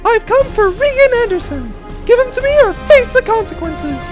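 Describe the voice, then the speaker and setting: A man's voice: very high-pitched, sing-song, moderate pace, music in the background, voice pushed up. A man voicing a fierce, loyal young woman pilot in a comic audio drama, earnest and defiant.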